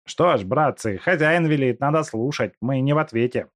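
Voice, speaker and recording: A man's voice: mid-range voice, lively intonation, normal speed, dry recording, studio quality, pitched above the performer's own voice, natural voice. A man voicing plain, apologetic factory workers who shrug off responsibility. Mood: sheepish. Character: sheepish common male factory workers.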